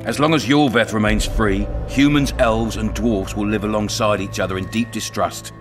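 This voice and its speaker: An actor playing a cunning, blunt and sardonic town commandant in a dark fantasy video game. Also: male voice, fairly low pitch, fairly even intonation, moderate pace, close to an everyday voice. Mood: scheming, sardonic. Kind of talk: dramatic video game acting. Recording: music behind the voice.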